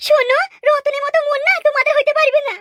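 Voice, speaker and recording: A woman's voice: very high voice, flat delivery, fast talker, very noisy. A woman voicing a vain, quarrelsome village wife in a comic cartoon, whiny and boastful. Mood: indignant, gushing.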